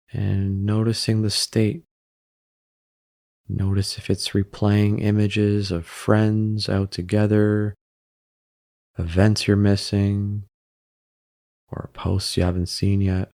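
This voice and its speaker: A man narrating a guided meditation in a calm, unhurried way, pausing between short phrases. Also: fairly low pitch, dry recording, clean studio sound.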